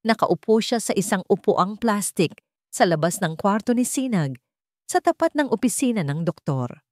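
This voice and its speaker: A woman's voice: mid-range voice, animated, normal speed, a little mellow, dry recording, studio quality. A woman reading a scripted, dramatic story as its narrator.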